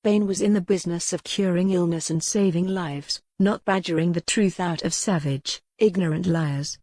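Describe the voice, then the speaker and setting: A woman narrating a historical mystery novel in a measured, wry storytelling way, recorded at a studio mic. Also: fairly low pitch, dark tone, no echo. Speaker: audiobook narrator. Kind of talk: scripted narration.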